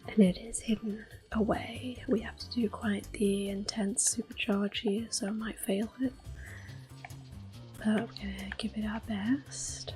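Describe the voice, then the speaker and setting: A woman giving gentle, soft-spoken ASMR gameplay commentary in a breathy, unhurried whisper. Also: on the high side. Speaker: gameplay host.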